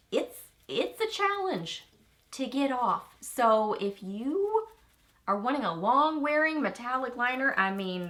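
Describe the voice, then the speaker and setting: Woman, Texan accent, beauty vlogger, casual chat, excitable, rambling. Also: mid-range voice. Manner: bubbly, chatty, easily excited, with rambling asides. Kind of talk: casual makeup review.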